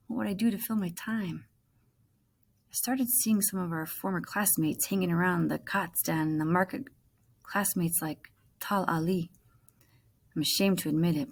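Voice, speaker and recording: A woman, a teacher, reading a storybook aloud with expressive narration. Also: lowish voice, slightly rough.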